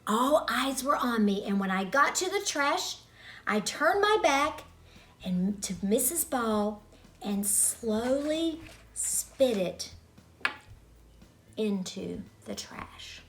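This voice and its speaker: A woman reading a story for children in an expressive way, as a storyteller speaking directly to young listeners. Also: fairly high pitch, slow pace.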